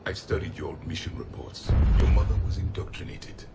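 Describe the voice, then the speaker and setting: An actor playing a stern, cold alien soldier from an ancient race who lectures bluntly, in a game cutscene. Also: male voice, a little fast, loud music behind the voice, lightly acted. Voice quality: very deep, hard. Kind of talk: video game cutscene acting.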